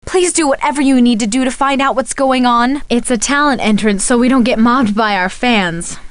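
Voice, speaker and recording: A woman's voice: on the high side, moderate pace, close to an everyday voice. A woman playing a friendly, emotional young soap actress in a video game, speaking earnestly. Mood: concerned.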